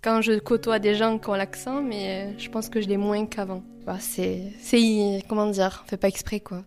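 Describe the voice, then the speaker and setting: A young woman from Occitanie with a mid-pitched voice speaks casually and hesitantly, with many fillers. Faint music plays behind. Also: little pitch movement, normal speed, a little mellow.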